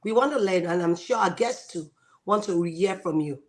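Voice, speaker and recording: A woman's voice: lowish voice, lively intonation, moderate pace, a little mellow. A woman hosting an interview, reading a guest's introduction and asking questions with enthusiasm. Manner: enthusiastic, laughs often. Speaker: host.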